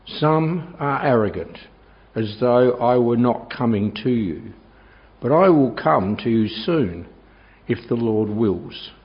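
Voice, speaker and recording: A man reading scripture aloud in a measured, solemn way. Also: mid-range voice, animated. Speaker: scripture reader. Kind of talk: Bible reading. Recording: dull lo-fi church recording.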